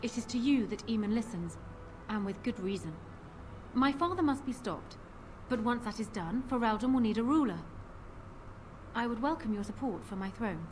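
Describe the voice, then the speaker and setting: A woman playing a proud, poised young queen in a fantasy video game, speaking in a regal, formal and deliberate manner. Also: a little high, expressive, slight background noise, natural voice. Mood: determined.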